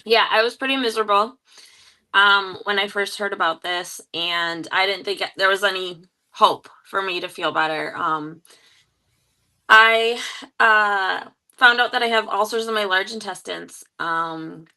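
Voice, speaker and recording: Hoarse woman with a gravelly edge. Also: medium pitch, bright, forceful tone. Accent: Michigan. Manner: open, halting with many ums. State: hoarse from a cold. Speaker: guest. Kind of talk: casual testimonial.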